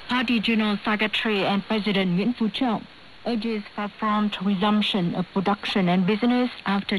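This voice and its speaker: Noisy shortwave female newsreader. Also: mid-range voice. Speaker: radio news presenter. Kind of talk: scripted news reading. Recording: noisy shortwave radio broadcast.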